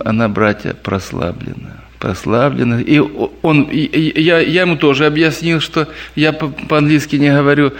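A man telling stories and answering questions at a church meeting, lively and anecdotal, addressing the listeners as brothers. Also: on the high side, gravelly.

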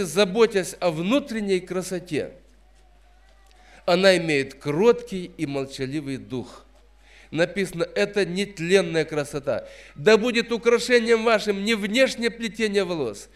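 Проповедник с высоким голосом, мужчина за пятьдесят. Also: male voice, high voice. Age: 50s. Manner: emphatic, repeats phrases for stress; admonishing, didactic. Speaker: preacher. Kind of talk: sermon.

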